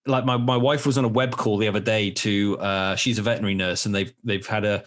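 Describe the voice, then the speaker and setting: A man in his thirties hosting a podcast, chatting in a relaxed, rambling way with many restarts. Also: fairly low pitch, quick pace. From South Africa and England. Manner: rambling, self-correcting, relaxed. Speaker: podcast host. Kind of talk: casual chat.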